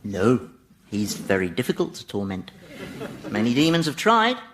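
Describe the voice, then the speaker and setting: An actor playing an exasperated, sardonic Satan in a comedy radio drama, grumbling and swearing in a British accent. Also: male voice, medium pitch, sing-song, normal speed, fairly bright tone, slight background noise. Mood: dry, sardonic. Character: exasperated, sardonic Satan, weary ruler of hell.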